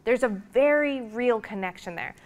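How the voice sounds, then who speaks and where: A woman's voice: fairly high pitch, animated, normal speed. A woman lecturer speaking to her students, earnest and encouraging, running through lists and questions to make her point. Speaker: public relations lecturer. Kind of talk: classroom lecture.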